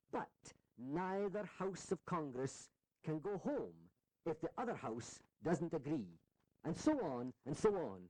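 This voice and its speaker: An actor playing a genial, orderly founding-era statesman in a historical audio drama, with a measured, explanatory manner. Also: on the high side, a little mellow, dry recording, rough recording.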